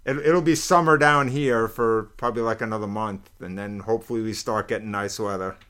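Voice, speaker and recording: A man interviewing a guest in a relaxed, friendly way, stammering a little as he talks. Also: on the low side, a little fast. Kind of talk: casual interview.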